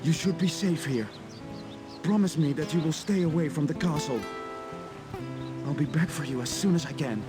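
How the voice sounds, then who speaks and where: A man's voice: on the high side, moderate pace, pauses often, over music, close to an everyday voice. A man playing an earnest, remorseful young inventor in a fantasy video game cutscene. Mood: tender. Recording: noisy game cutscene with background ambience.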